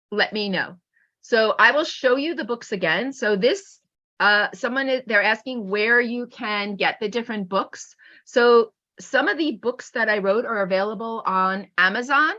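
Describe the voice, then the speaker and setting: A woman teacher giving an informal webinar talk with anecdotes in an animated manner, acting out remarks as she goes. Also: mid-range voice, dull audio. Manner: animated, acts out pupils' remarks. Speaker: teacher and author presenting a webinar.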